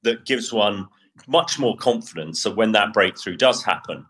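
A middle-aged British man explaining military matters as an analyst on a podcast, in a measured, opinionated way. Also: fairly low pitch, a bit bright.

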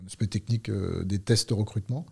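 A man in his fifties from Paris, a police unit leader talking through his career as a guest, measured and hesitant with fillers. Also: on the low side, gravelly, husky. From Paris, France. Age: 50s. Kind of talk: conversational interview.

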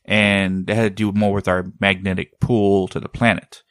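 A man talks in a low voice, loose and meandering. He often stalls to search for the right word. The sound is dry, with no room echo. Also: little pitch movement, moderate pace, clean tone. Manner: rambling, often searching for words. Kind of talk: casual chat.

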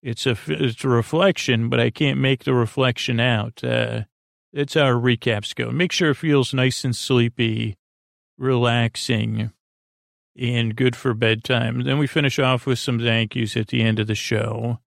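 Male bedtime podcast host: creaky, mid-pitched, drowsy and meandering, soothing delivery. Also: steady tone, measured pace, no echo, studio quality. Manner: meandering, hesitant, stop-start; soothing, sleepy. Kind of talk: rambling bedtime podcast monologue.

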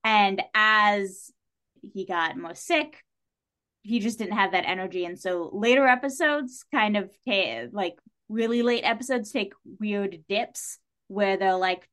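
A woman speaking as a podcast guest in casual discussion, telling stories in an animated way with lots of "like" and acted-out reactions. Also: mid-range voice.

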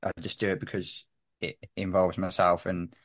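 A young man chatting casually as a podcast guest, halting and restarting his sentences often. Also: sits low, level tone, dry recording. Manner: halting, with many restarts and repeated words. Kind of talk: casual chat.

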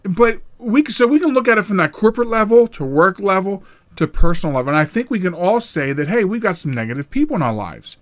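A middle-aged man hosting a solo podcast, talking in a rambling, animated way with frequent restarts and sudden jumps in pitch for emphasis. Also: quick pace. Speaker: podcast host.

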